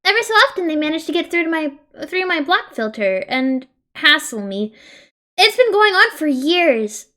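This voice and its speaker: Woman playing a high, lilting, gentle teenage girl. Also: big pitch swings, normal speed, exaggerated voice. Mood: patient, mild. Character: gentle, upbeat teenage girl chatting online.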